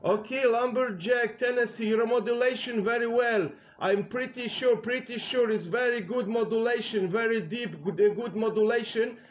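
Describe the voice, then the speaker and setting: Male, high voice, Polish accent. Amateur radio operator making repetitive calls in simple, halting English. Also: muffled recording, a little hiss or hum. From Poland. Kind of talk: radio contact calls with repeated phrases.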